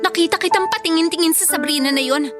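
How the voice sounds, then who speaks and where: Shrill, jealous young Filipina wife. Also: female voice, quick pace, over music. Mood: jealous, accusing. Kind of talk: radio drama dialogue.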